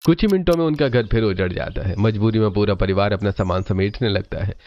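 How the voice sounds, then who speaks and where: एक आदमी जो एक कहानी को स्थिर, सधी हुई आवाज़ में सुनाता है।. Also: male voice, fairly low pitch, a little fast, lo-fi sound. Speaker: narrator. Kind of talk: scripted story narration.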